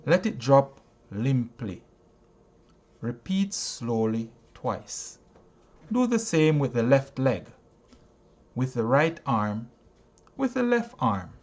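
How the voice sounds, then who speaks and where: A man reading a self-help audiobook in a deliberate manner, breaking sentences into short phrases with frequent pauses. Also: medium pitch. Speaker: audiobook reader, teacher.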